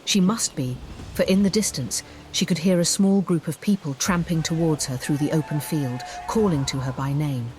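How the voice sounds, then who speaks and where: A woman storyteller reading scripted fantasy narration in a measured, theatrical way. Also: sits low, darkish tone, rough recording. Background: music bed.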